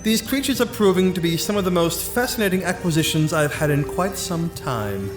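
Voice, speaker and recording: A high male voice rings in a roomy space over music. It is an actor as an imperious, pompous scientist of fantasy audio drama. He dictates in formal, precise, clinical phrases. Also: expressive, fairly quick. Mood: fascinated. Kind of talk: full-cast fantasy audio drama acting. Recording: roomy echo with music behind.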